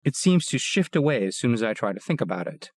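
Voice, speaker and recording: A man reading a first-person audiobook in a steady, plain, measured way. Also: mid-range voice, no room sound, studio quality. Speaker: audiobook narrator.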